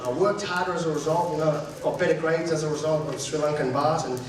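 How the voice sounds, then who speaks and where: High-voiced Australian man doing stand-up in an echoey hall. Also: fast, a crowd in the background. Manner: animated storytelling, mimics voices of mum and others. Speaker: stand-up comedian. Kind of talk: stand-up comedy set. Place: large hall with a live audience. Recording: echoey hall, miked from far off, audience.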